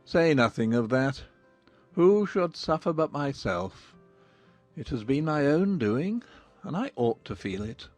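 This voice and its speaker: An actor playing a dry, sardonic middle-aged gentleman father in a dramatized audiobook, speaking deliberately. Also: fairly high pitch, very animated, faint music behind. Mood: rueful, resigned. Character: dry, self-reproaching older English gentleman father.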